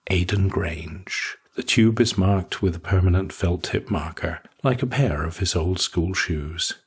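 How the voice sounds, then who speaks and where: A man narrating a scripted literary short story in a husky voice. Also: low voice.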